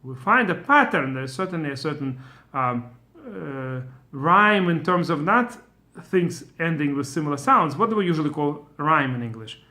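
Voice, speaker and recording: A man's voice: a little high, animated, moderate pace, sharp tone. A middle-aged man with a Russian accent, a Torah teacher giving an informal lesson, thinking aloud with many fillers and restarts.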